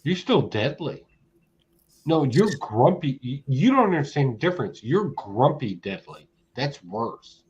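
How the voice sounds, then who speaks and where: A man's voice: mid-range voice, big pitch swings, measured pace, darkish tone. A man in his forties hosting a casual chat, hyped and egging others on. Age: 40s. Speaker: host. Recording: dull lo-fi sound with faint noise.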